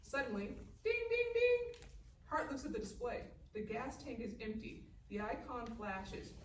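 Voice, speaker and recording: A woman's voice: a little high, big pitch swings, measured pace. Woman narrating a script, echoey and noisy. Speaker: stage directions narrator. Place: live festival table read in a room. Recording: echoey, distant room mic with clear noise.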